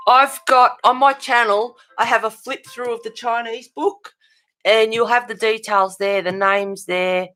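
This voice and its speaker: A woman with an Australian accent and a creaky voice, chatting informally and encouragingly as the host of a casual live stream, rambling a little. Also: a little high, steady tone, a little slow, pushed and bright, soft background music, rough recording. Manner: rambling, informal, encouraging, addressing viewers as guys.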